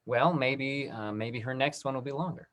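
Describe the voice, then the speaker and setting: Halting man hosting an online event. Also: medium pitch, fairly bright tone. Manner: halting, repeats himself. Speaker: host. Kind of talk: online talk-back hosting and announcements.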